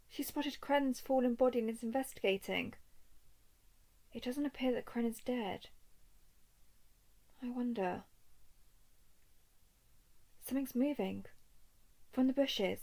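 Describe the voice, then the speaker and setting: Woman voicing female dragon scout, fairly high voice, creaky edge, confident, watchful, teasing, deliberate audio drama delivery. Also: darkish tone, full character voice. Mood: alarmed, intent. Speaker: voice performer. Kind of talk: scripted roleplay audio drama monologue.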